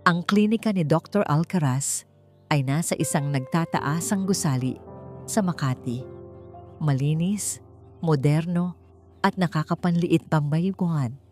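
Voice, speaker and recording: A woman's voice: low voice, measured pace, long pauses. A woman with a Filipino accent reads a scripted romantic drama as its narrator, with music behind her. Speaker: story narrator. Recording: faint music bed under the voice. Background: soft background music.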